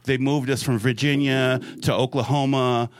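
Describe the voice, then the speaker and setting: A man's voice: medium pitch, level tone, moderate pace, slight background noise. A man on a podcast, talking in casual, profane, rambling chat. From United States.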